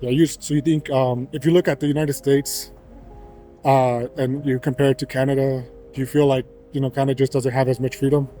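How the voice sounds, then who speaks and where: Mellow arizona guy asking questions, keeps restarting, mid pitch. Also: level tone, soft background music. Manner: halting, restarts his questions. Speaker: interviewer. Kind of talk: casual street interview.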